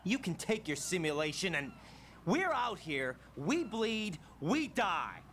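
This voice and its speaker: A man's voice: sits high, sing-song, takes it slowly, sharp tone, slight background noise, heavily acted. An actor playing a young male soldier who asks tense, challenging questions.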